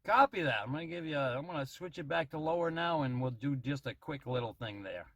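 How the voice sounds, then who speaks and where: A man's voice: fairly high pitch, animated, brisk, a bit bright. A man giving a casual radio-testing demonstration as a hobbyist, talking in check-ins. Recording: noisy CB radio transmission at times.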